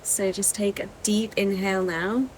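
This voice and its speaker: A woman with an English accent, narrating a travel vlog in a calm, reflective way, recorded outdoors by the sea. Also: mid-range voice, moderate pace, slight background noise, poor audio. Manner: soft-spoken, calm and reflective. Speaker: vlogger.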